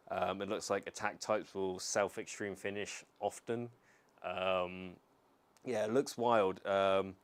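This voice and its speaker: A young man from the United Kingdom with a lowish, smooth, resonant voice talks loosely to his stream chat. He rambles easily, filling gaps with ums and restarting sentences as he thinks. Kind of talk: casual live-stream chat.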